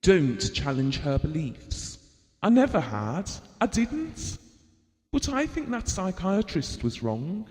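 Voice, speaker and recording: A troubled husband in a radio play, full of guilt and exasperation. He confesses slowly in a strained, high voice, with a long reverb around him. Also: wildly swooping pitch, heavily acted. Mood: weary, regretful. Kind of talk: audio drama monologue and dialogue. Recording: reverberant hall-like room sound.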